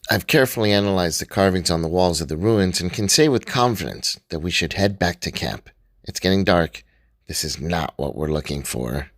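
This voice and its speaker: A man's voice: sits low, expressive, moderate pace, no room sound, studio quality, pitched above the performer's own voice. A man voicing a confident young football star in a radio drama, suave and self-assured. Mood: smooth.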